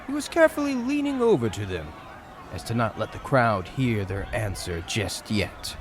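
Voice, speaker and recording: A man's voice: fairly low pitch, noisy background. A man narrating a scripted fantasy audio drama in a dramatic storytelling style. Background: music.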